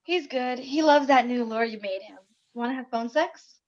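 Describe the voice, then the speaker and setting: Tender wife, acted by a woman, higher-pitched, supportive, screenplay drama, muffled video-call sound. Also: moderate pace, close to an everyday voice. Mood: playful, intimate. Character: affectionate, supportive wife on a late-night phone call. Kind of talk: screenplay table read.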